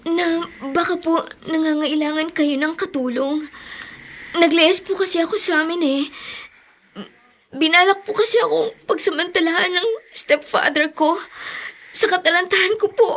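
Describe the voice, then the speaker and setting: Radio drama role: meek runaway schoolgirl, very high voice, hesitant, pleading, polite. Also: moderate pace, long pauses, a bit bright. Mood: timid, tearful. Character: timid, pleading teenage runaway girl applying as housemaid. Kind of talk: Tagalog radio drama acting.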